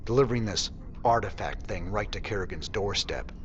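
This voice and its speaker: A man's voice: fairly low pitch, brisk, a little hiss or hum, close to an everyday voice. A man playing a sardonic, weary but defiant rebel commander in a video game cutscene, folksy and wry. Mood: wry, skeptical. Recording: muffled, loud music behind.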